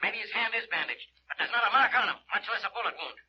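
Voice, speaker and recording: An actor playing a sarcastic, stubborn, blustery police inspector in a 1940s radio detective drama. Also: male voice, high voice, lively intonation, fast talker. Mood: skeptical. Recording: dull old radio recording with hiss.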